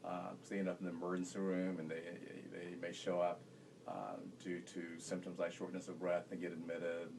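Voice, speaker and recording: A man's voice: low-pitched, monotone, normal speed, gravelly. A man, a physician, speaking hesitantly with many fillers in an interview, sounding frustrated. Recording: noisy room ambience.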